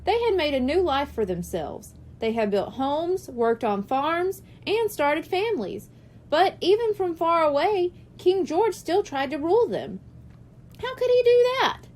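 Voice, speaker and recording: A woman plays a cheerful male first-grade teacher from a children's audiobook, pitched high with lively swings. The teacher explains things patiently and likes to tease playfully. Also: measured pace, slight background noise, heavily acted. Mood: patient, explaining. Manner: explanatory, teasing. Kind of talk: children's audiobook character reading.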